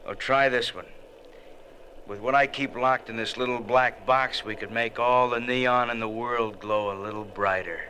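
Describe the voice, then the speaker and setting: A man's voice: medium pitch, fairly even intonation, normal speed, bright, forceful tone, natural voice, low-quality recording. An actor playing a cocky, menacing smuggler with a gun, taunting in tough-guy slang in an old radio adventure. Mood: mocking.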